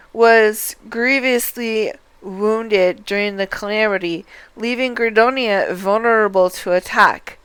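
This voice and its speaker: A woman reads video game dialogue for a livestream. Her voice is exceptionally clear and mid-pitched, with a slow, deliberate delivery. The sound is dry. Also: no room sound, rough recording. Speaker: game streamer.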